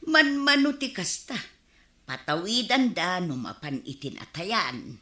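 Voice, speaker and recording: A woman's voice: fairly low pitch, sing-song, a little slow, sharp tone, reverberant, full character voice. A woman playing a plain-spoken, teasing village woman with an Ilocano accent in a comedy radio drama. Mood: matter-of-fact, teasing.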